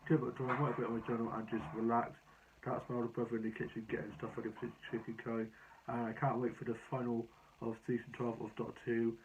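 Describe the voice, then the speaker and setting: A young British man chatting casually in a rambling, anecdotal vlog, his voice a bit hoarse. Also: medium pitch, monotone, quick pace, slight background noise. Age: young adult. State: slightly hoarse. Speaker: vlogger. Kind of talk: casual personal vlog chat. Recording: dull lo-fi sound.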